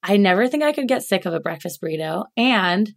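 A woman's voice: medium pitch, animated, brisk, gravelly. A woman from Alaska, a chiropractor, talking casually as a podcast guest in a chatty, rambling way, full of "like"s. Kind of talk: casual interview chat.

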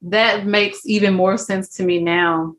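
A woman's voice: mid-range voice, steady tone, a little slow, a bit bright, a little hiss or hum. A woman hosting an interview, speaking reflectively and smiling as she talks. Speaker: host. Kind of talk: conversational interview.

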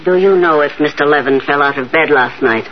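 Skeptical doctor, male actor, measured and matter-of-fact, dull vintage radio drama recording with some hiss. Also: fairly high pitch, lightly acted. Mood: brisk, doubtful. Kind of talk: 1970s radio drama acting. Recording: muffled, hissy 1970s radio recording.

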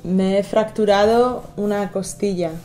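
A woman's voice: mid-range voice, steady tone, unhurried, over music. A woman in a bilingual lesson, repeating words after the teacher and asking how to say phrases. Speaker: learner in the lesson.

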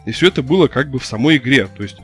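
A man co-hosting a casual podcast, telling stories in a fast, animated way. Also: mid-range voice, faint music behind. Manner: fast, animated, rising into excited retelling. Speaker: podcast co-host. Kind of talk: casual storytelling chat.

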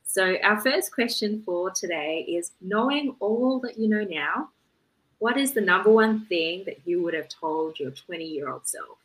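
A woman's voice: medium pitch, expressive, a little slow, a bit bright, rough recording. A woman hosting a podcast interview, introducing her guest and asking questions in a chatty, loosely phrased way. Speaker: podcast host.

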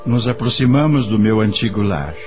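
A man's voice: on the low side, expressive, moderate pace, darkish tone, close to an everyday voice. A man playing a reflective spirit who narrates his memories expressively and wistfully in a radio drama. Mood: wistful reflection. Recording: music under the voice.

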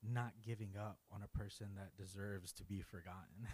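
Low mellow guy, earnest, talking through his testimony. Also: fairly even intonation, moderate pace, husky. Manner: earnest, reflective. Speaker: interview guest. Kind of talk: personal testimony.

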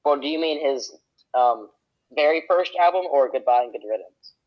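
A young man holds forth on a homemade podcast, boasting and cracking jokes in a rambling way. His voice sits at a middling pitch, and the recording sounds dull and lo-fi. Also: lively intonation, moderate pace. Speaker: podcast guest. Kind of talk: casual podcast banter.

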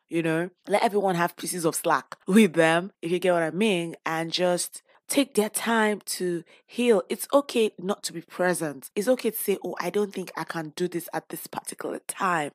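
A woman hosting her own podcast with a hoarse, worn voice, talking casually and openly with playful asides. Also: lowish voice, no echo, clean studio sound. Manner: chatty and open, with playful asides. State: lost voice, hoarse. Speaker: podcast host; teacher. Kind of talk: casual personal podcast talk.

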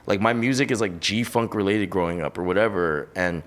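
A young man from Los Angeles rambles through a casual podcast chat, full of slang, swearing and fillers. His voice sits a little low. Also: a little fast. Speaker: podcast guest. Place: hot room on a high floor.

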